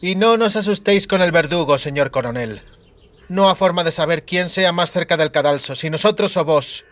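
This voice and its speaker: A man voicing a proud, defiant female soldier with a hoarse voice in a fantasy audiobook. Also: fairly high pitch, moderate pace, a bit bright, no room sound, voice pushed up, lightly acted. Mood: stoic.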